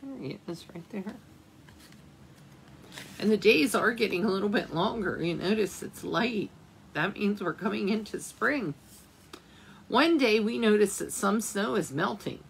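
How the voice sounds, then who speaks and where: A woman librarian reading a picture book aloud at storytime, slowly and gently, pausing to ask the children questions. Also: medium pitch, lively intonation, gravelly, slight background noise, poor audio.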